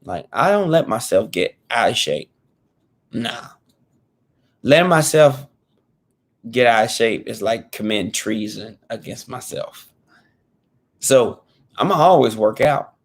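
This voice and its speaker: A man in his thirties speaks to camera in an easygoing, earnest vlog style. He loops back and restates his points, leaning on informal slang. His voice sits at an ordinary middle pitch. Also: animated, unhurried, pauses often. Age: 30s. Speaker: fitness vlogger. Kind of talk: casual talk to camera.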